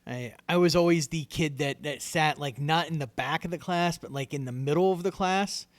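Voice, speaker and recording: A man with a fairly high voice who is soft-spoken by nature. He co-hosts a podcast in casual chat and stammers and repeats words as he goes. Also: normal speed. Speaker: podcast co-host.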